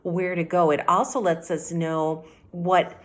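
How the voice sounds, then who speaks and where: A middle-aged woman, a teacher giving a read-aloud mini lesson, explaining things directly to children in an animated way. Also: fairly low pitch, unhurried. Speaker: elementary teacher. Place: indoor room at home by a window.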